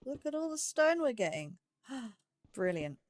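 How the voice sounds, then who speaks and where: A woman's voice: high-pitched, big pitch swings, a little slow. Chatty woman narrating her gameplay. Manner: chatty, thinking aloud with many ums. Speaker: let's play gamer. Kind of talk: casual gameplay commentary.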